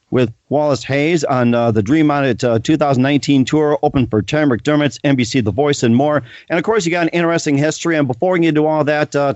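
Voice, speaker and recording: A man's voice: mid-range voice, darkish tone, no room sound, lo-fi sound. A man hosting his own podcast, reading sponsor spots and introducing a guest with many ums, uhs and restarts. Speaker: podcast host. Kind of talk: casual interview and self-read sponsor spots.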